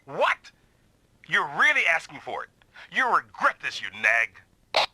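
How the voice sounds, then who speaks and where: A man's voice: on the high side, huge pitch swings, slow, pushed and bright, a little hiss or hum, full character voice, rough recording. An actor voicing a big, burly, good-natured but touchy male mech pilot in an anime-style video game, theatrical and blustering. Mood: indignant, angry.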